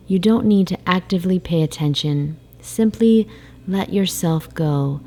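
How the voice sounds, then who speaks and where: Slow, mellow woman guiding sleep meditation. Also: sits low. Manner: soft, soothing, breathy phrase endings, long pauses. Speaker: meditation guide. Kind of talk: guided sleep meditation, slow hypnotic narration. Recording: faint background noise, ocean waves. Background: ocean wave sounds.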